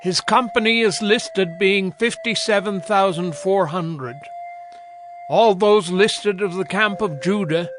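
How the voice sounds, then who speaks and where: A man with a high voice reads scripture slowly and formally. His delivery is declamatory, and prominent music plays behind him. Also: a bit bright. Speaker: narrator.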